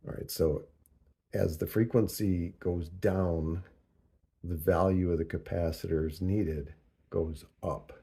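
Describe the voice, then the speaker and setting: A man narrating a casual hands-on tutorial, thinking aloud in a deliberate, halting way as he works through figures. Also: low-pitched, steady tone, gravelly, warm tone, husky. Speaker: host of a DIY audio tutorial.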